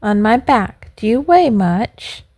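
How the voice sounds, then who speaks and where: A woman voicing a large, helpful male pigeon in a storybook, with a measured, matter-of-fact manner. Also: mid-range voice, big pitch swings, a little mellow, dry recording, studio quality. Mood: calm, informative. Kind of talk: storybook character voice.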